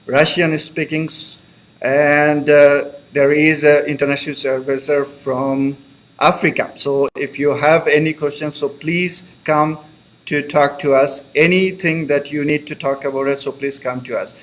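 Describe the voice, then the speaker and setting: Guy advising new intl students, kinda high voice, friendly, repeats himself a lot. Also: fairly even intonation, a little slow. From Bangladesh. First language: Bengali. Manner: friendly, repeats reassurances, loose grammar. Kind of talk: live online information session.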